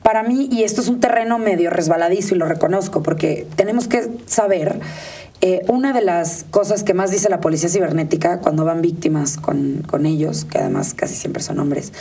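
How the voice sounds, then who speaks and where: A woman's voice: lowish voice, sing-song, fairly quick, creaky, warm tone, breathy edge, distant mic. Mexican woman guest in an interview, talks emphatically and bluntly, explains stuff conversationally. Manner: emphatic, outspoken, mimics others in quotes. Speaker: interview guest, digital rights advocate.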